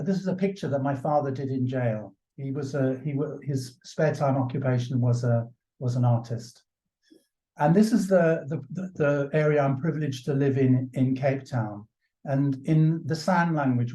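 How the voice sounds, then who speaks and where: A man with a mellow, dark voice gives a conference keynote, stumbling and restarting as he goes. His talk carries the echo of the room around him. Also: medium pitch. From South Africa. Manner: halting, many restarts. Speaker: keynote speaker.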